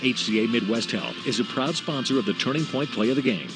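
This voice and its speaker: A man's voice: mid-range voice, fast. An American man reading a scripted sponsor advertisement for radio. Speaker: advertisement announcer. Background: music.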